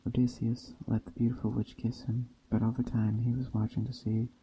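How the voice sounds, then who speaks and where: A man softly reading a story in a hushed, gentle ASMR storytelling style. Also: medium pitch, monotone, a little fast, a little hiss or hum, rough recording. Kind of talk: soft-spoken ASMR reading of a Greek myth tale. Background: soft nature ambience.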